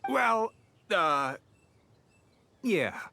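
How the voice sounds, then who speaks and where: An actor playing a chatty, easily flustered bandit underling in a video game. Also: male voice, high voice, very animated, very slow, gravelly, bright, forceful tone, slight background noise, exaggerated voice. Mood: sheepish. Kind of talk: video game story dialogue.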